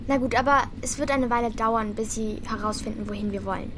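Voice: medium pitch, fairly even intonation, fairly quick, noisy background, natural voice. A performer playing an outspoken, compassionate and combative young girl in a children's radio play, blunt and direct. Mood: musing.

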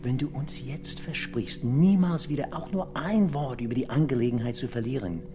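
Male actor as manipulative handler, medium pitch, soft, condescending, radio crime drama, music underneath. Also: normal speed, close to an everyday voice. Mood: coaxing. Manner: soft, condescending, slips in English phrases. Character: smooth, manipulative male handler addressing an old acquaintance.

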